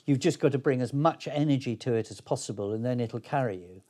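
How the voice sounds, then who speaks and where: A man's voice: mid-range voice, fast, dark tone. An older man speaking reflectively as an interview guest, hesitant and thoughtful but enthusiastic. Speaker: interview guest, playwright and actor.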